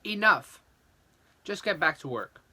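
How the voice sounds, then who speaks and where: A man playing a bossy, smooth-talking station boss in a 1940s radio comedy. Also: high-pitched, lively intonation, a little slow, pushed and bright, heavily acted. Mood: stern, bossy.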